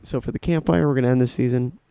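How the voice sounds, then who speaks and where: A man's voice: mid-range voice, fast talker, vocal fry, music in the background, rough recording. A man of nineteen hosting a podcast, talking casually and enthusiastically with frequent restarts. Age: young adult. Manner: rambling, enthusiastic, restarts often. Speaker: podcast host. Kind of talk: casual solo talk about music.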